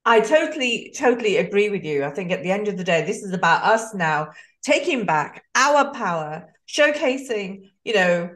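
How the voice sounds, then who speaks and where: A woman's voice: mid-range voice, animated, moderate pace, fairly bright tone. A woman in her fifties hosting a podcast over a video call, talking earnestly and eagerly on a headset mic, with many fillers. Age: 50s. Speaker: podcast host. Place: home room.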